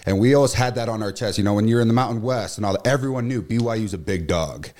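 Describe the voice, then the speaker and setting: A young man in his twenties who talks loudly and with emotion, a former football player chatting as a studio guest. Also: on the low side, fast talker. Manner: loud, emotional, animated delivery. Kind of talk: casual radio chat.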